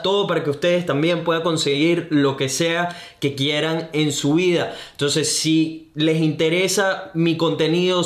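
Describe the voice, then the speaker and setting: A young Venezuelan man with a Venezuelan accent, hosting a podcast in an energetic, enthusiastic, slangy way. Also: on the high side. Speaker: podcast host. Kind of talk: upbeat podcast intro and interview. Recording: roomy echo.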